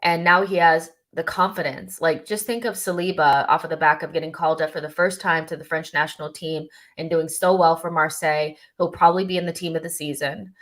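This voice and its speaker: A young woman with a low voice hosts a live stream. She reads chat messages aloud and answers them off the cuff, chatty and loose, often pausing on "um" or restarting a sentence. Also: fairly even intonation. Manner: chatty, thinks aloud with many ums and restarts. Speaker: channel host reading live chat.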